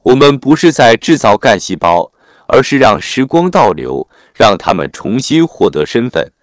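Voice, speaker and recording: A man narrating a written article in a formal, measured read-aloud manner. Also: medium pitch, sing-song, no room sound, clean studio sound.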